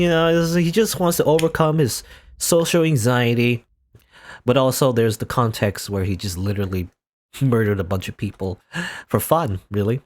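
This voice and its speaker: Man narrating a visual novel with lively pitch. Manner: dramatic reading, rambling asides with fillers. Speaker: let's player. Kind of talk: reading a visual novel aloud with commentary.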